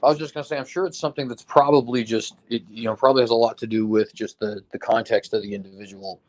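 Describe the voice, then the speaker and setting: A man's voice: mid-range voice, steady tone, fast, rough recording. A man in casual discussion, getting over an illness, who explains with examples, hedges, and keeps asking "right?". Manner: explains with examples, hedging, frequent "right?" and fillers. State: recovering from illness, foggy.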